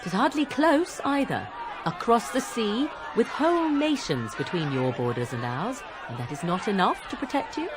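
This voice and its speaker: An actress plays a sardonic witch in a fantasy game. She mocks theatrically with very lively intonation, over the sound of a noisy crowd. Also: mid-range voice, normal speed, lightly acted. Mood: mocking. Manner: mocking, theatrical. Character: sardonic, archaic-tongued witch woman. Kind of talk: video game voice acting.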